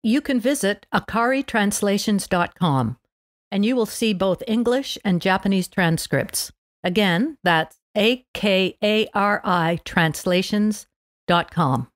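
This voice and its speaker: A woman's voice: mid-range voice, animated, a little slow, dry recording, clean studio sound. A woman podcast host reading a scripted narration aloud for language learners.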